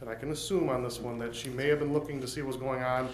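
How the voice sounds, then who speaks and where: A man's voice: medium pitch, fairly even intonation, fast. A man serving as mayor, giving plain-spoken, earnest remarks at a city council meeting. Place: council chamber. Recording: roomy chamber with faint noise.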